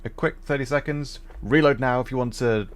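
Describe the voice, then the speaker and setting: A man's voice: medium pitch, brisk. A man hosting a gaming livestream, chatting casually in a polite, hesitant way. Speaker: livestream host, VTuber. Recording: faint background noise.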